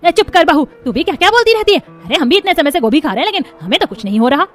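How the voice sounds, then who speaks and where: A woman's voice: high voice, very animated, racing, a bit bright, soft background music, heavily acted. A woman with an Indian accent voicing a scolding, easily flustered middle-aged mother-in-law in a family cartoon, dramatic and full of exclamations. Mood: scolding, annoyed. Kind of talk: animated moral-story voice acting.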